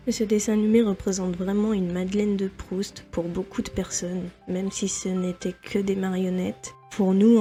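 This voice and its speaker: A woman's voice: mid-range voice, mellow. A young adult woman presenting a semi-scripted video commentary as its host.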